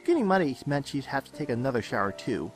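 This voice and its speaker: A man narrates fiction from a script with lively, wide-ranging intonation. His storytelling is expressive and dramatic. Faint music sits behind his voice. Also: medium pitch, fast. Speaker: audiobook-style reader of fan fiction. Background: background music.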